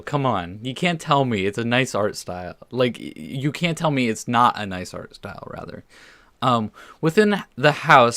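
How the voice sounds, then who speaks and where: A man's voice: medium pitch, fairly bright tone, no echo. A man hosting a gaming video, mixing casual commentary with reading story text aloud and stumbling over the odd word. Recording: music under the voice.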